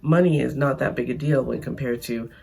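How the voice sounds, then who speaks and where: Hoarse Canadian woman vlogging. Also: rumbling low, brisk, warm tone, faint music behind. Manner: chatty, emphatic, frequent restarts. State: losing her voice, hoarse. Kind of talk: casual opinion vlog.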